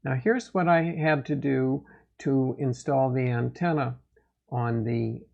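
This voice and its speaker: Creaky-voiced man, slow and measured. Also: medium pitch, muffled recording. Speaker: host of a motorcycle gear review channel. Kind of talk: product update narration.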